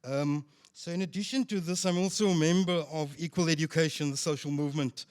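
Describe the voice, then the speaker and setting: Loud-voiced man presenting on stage. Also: fairly high pitch, clean tone. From South Africa. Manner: measured, formal, with frequent ums. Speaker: student representative speaker. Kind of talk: panel presentation. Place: event stage.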